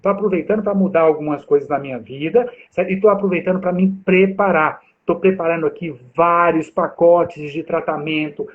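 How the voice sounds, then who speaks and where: A man's voice: fairly high pitch, brisk, few pauses. A man in his forties from Brazil, a guest instructor talking animatedly and straight to viewers on a video call. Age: 40s. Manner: animated, addresses viewers directly. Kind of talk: live chat with audience. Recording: tinny phone-like line.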